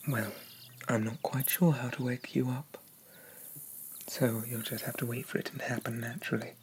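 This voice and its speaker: A man playing a possessive, haughty demon prince of dreams in an ASMR roleplay, speaking softly and breathily with a coaxing, condescending, regal manner and lingering pauses. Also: mid-range voice, fairly even intonation, lightly acted. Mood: coaxing, seductive. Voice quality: soft, breathy, often whispered. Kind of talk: ASMR roleplay, soft half-whispered dramatic monologue. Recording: noticeable rain-like background noise.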